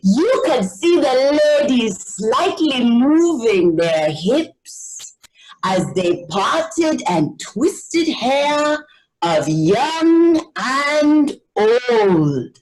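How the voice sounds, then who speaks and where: Slow, animated woman storyteller, higher voice. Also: a little hiss or hum, poor audio. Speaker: storyteller reading a children's book. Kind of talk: expressive scripted storytelling narration.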